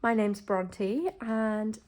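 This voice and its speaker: A young woman vlogging while she sets up a planner, her voice croaky after the flu, chatty and enthusiastic with many fillers. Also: mid-range voice, steady tone, extremely slow, a little mellow. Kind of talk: casual chat while setting up a planner.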